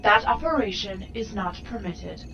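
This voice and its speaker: An impassive, unhelpful ship's computer voiced by a woman in a science fiction audio drama. The voice is medium-pitched, clipped, formal and emotionless, with faint noise behind it. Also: moderate pace, vocal fry, bright, forceful tone, lo-fi sound. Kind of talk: fan audio drama acting.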